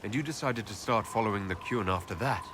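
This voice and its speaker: A man's voice: fairly low pitch, a little fast, close to an everyday voice. A man playing an inquisitive elf leader in a video game, asking questions politely and directly. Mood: curious. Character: male elf leader, inquisitive, diplomatic hero. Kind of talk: video game cutscene acting. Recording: game capture with loud ambient background noise.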